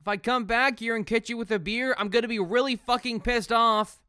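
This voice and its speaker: A woman playing an earnest, awkward teenage boy superhero in a comic dub, casual and expressive. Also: a little high, brisk, clear, resonant tone, no echo, studio quality. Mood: exasperated.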